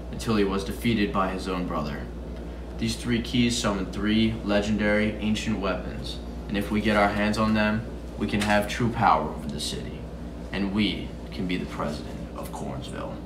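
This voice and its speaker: A man playing a power-hungry villain in an amateur drama, speaking in a deliberate, commanding way. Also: on the low side, close to an everyday voice. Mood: scheming, commanding. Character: power-hungry villain plotting to rule a city. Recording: echoey room, distant mic, background noise.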